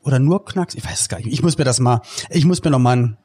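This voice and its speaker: A man hosting a podcast, chatting casually in colloquial language with animated exclamations. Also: mid-range voice, fast, slightly rough. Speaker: podcast host. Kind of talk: casual chat.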